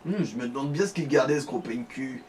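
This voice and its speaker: A man's voice: mid-range voice, fast talker, darkish tone. A man giving casual co-commentary on a video game, explaining things and joking crudely. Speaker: let's play co-commentator. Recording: distant mic with faint background noise.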